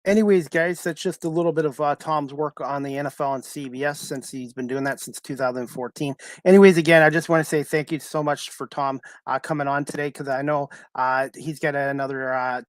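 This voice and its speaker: Higher-voiced male podcast host, dry close sound. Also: fairly even intonation, a little fast, few pauses, no room sound. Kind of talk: casual livestream podcast sign-off.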